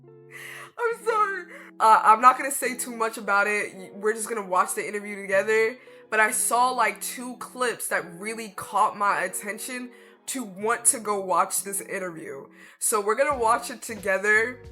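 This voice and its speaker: A woman's voice: mid-range voice, lively intonation, moderate pace, bright, forceful tone, faint music behind. A woman in her late twenties giving a casual reaction commentary, chatty and exclaiming, laughing a lot. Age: 20s. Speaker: reaction video creator.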